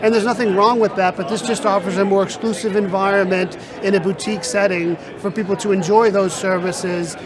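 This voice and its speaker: A man speaking as a real estate sales director in an interview, with measured, polished sales talk, on a busy conference floor. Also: sits high. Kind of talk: interview at a trade event. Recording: loud crowd chatter behind. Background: crowd chatter.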